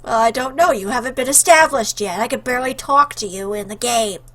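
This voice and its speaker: A woman's voice: fairly high pitch, fairly quick, a bit bright. A woman hosting a let's play, chatting casually and jokingly as she comments on the game. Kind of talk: casual playful game commentary with read-aloud choices.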